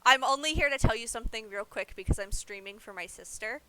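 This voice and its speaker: A woman's voice: fairly high pitch, fast, pushed and bright, dry recording, rough recording. A young woman giving casual livestream game commentary, explaining things informally as she plays. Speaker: game streamer.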